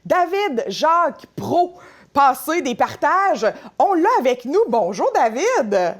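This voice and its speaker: A woman's voice: sits high, big pitch swings, takes it slowly. A woman with a Quebec French accent hosting a casual interview, asking questions in a friendly, chatty way. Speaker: radio host.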